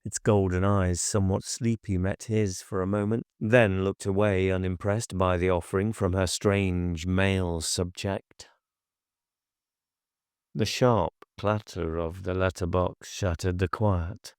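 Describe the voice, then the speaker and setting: A man reading gothic horror fiction as an audiobook narrator, measured and deliberate in his storytelling. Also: low-pitched, steady tone, a little mellow, clear, resonant tone, no room sound, studio quality.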